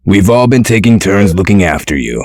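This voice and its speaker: A man playing a gruff, protective male character in a comic dub, speaking in a measured, dry, explanatory way. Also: deep voice, sing-song, a little mellow. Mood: calm, explanatory.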